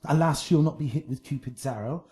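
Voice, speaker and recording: A man's voice: on the high side, fairly quick, mellow, lightly acted. An actor playing a lovesick, melancholy young nobleman in a Shakespearean radio drama. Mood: lovesick, downcast. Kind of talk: classical Shakespearean radio acting.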